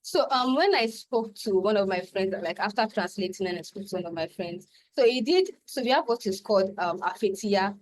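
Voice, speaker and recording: A Nigerian poet and translator, a woman whose first language is Yoruba, introducing herself softly and hesitantly with many ums on a video call. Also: mid-range voice, no echo, low-quality recording. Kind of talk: introducing herself and reading her translated poem.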